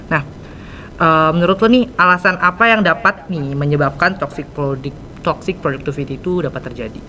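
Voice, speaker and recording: A man's voice: a little high, normal speed, bright, forceful tone, slight background noise, low-quality recording. Teenage boy hosting, filler-heavy. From Indonesia. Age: teens. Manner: slang-heavy, many fillers. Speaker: student podcast host. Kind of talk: casual podcast interview.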